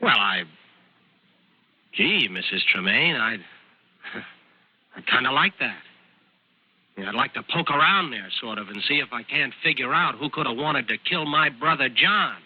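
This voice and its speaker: An actor playing a sly, calculating young American man who puts on boyish grief, talking in a folksy, halting, insinuating way in a radio drama. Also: mid-range voice, animated, slightly rough, no room sound. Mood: hesitant, naive. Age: young adult. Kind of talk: mid-century radio drama acting, dialogue and first-person narration. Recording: dull vintage radio recording, music underneath.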